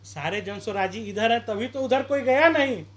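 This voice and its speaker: A man's voice: very high voice, normal speed, a bit bright, slight background noise. Combative man pressing his case outdoors. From Bihar, India. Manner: combative, rhetorical questions, campaign-style appeals. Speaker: independent election candidate. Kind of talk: street interview.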